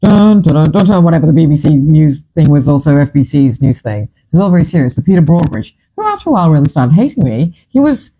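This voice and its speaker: A woman's voice: low voice, very animated, fast talker, hardly any pauses, mellow, breathy edge, low-quality recording. A woman from Fiji in her fifties, hosting a casual chat and telling her stories in a chatty, dramatic, self-mocking way. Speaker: host.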